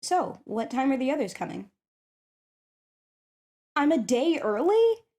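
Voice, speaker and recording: Woman doing an eager kinda shy popular high school girl, soft mellow voice, chatty asmr roleplay, thinks out loud. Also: sing-song, a little slow. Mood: flustered. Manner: chatty, hesitant, thinking aloud. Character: shy, eager popular schoolgirl curious about tabletop games. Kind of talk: ASMR roleplay, one-sided conversation.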